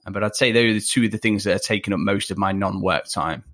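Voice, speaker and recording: A young man from Leicester talks loosely, his speech thick with ums and self-deprecating asides. His voice sits a little low, and he sounds like a guest on a remote podcast call from home. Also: fast, a bit bright. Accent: Leicester English. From England. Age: 20s. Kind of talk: casual interview chat.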